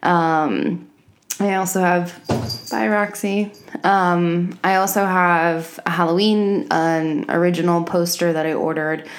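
A young woman hosts a podcast from her home office in a relaxed, rambling chat. Her voice sits a little low, and she is slightly congested, as if from a cold. She peppers her talk with ums and likes. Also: takes it slowly. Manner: chatty, many fillers like um and like. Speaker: podcast host. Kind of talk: casual chat.

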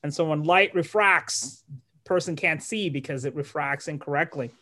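A man in his forties from Malawi, a neurologist guest telling his life story in a relaxed interview, pausing and restarting as he thinks. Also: on the high side. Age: 40s. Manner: thoughtful, with frequent restarts and light laughs.

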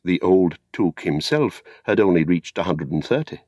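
An older British man narrating a literary story in a measured, theatrical way. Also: low voice, lively intonation, a little mellow, no echo, clean studio sound. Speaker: audiobook narrator.

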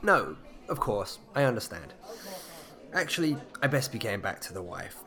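An actor playing a polite, eager newcomer to a village in an audio drama, hesitant and apologetic. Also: male voice, lowish voice, sing-song, moderate pace, bright, forceful tone, full character voice. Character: eager, awkward, polite newcomer husband from London. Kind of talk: naturalistic audio drama acting.

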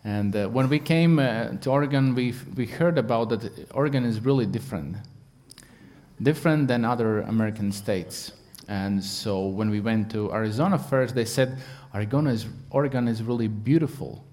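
A man preaches with a Latvian accent, searching for words in English and filling pauses with "um" and "uh." His delivery is earnest and plain, at an ordinary middle pitch for a man. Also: darkish tone. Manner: halting English with many fillers, earnest. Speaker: guest preacher. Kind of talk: sermon.

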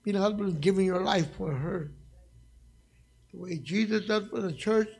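Raspy-voiced man preaching with passion. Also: sits high, normal speed, a little mellow. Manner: passionate and conversational, jokes with the congregation. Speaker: guest evangelist. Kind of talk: sermon. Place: church sanctuary.

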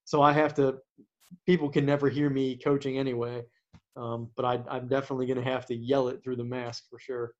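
A man who coaches, talking casually about sports as a podcast co-host, with a naturally quiet voice and a halting way of talking, full of restarts and fillers. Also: medium pitch, level tone. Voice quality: naturally quiet voice that does not carry. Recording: dull lo-fi sound.

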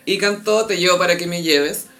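A woman with a Chilean accent co-hosting a casual pop-culture gossip chat, chatty and full of slang and quick asides. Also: fairly low pitch, fairly bright tone, soft background music. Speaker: podcast co-host.

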